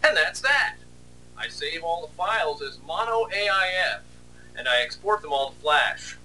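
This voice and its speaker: A man with a fairly high, very bright voice narrates casually as an animator. His manner is sarcastic and mock-complaining. He sounds a little away from the mic, with faint background noise. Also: animated, moderate pace, far from the mic, rough recording. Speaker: animator and video host. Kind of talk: casual behind-the-scenes narration.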